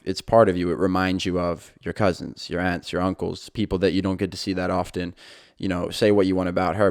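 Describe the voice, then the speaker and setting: A man's voice: deep voice, creaky, no room sound, clean studio sound. A young man hosting his own podcast, talking casually and rambling a little, with frequent fillers and restarts. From England. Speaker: podcast host. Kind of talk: casual solo podcast talk.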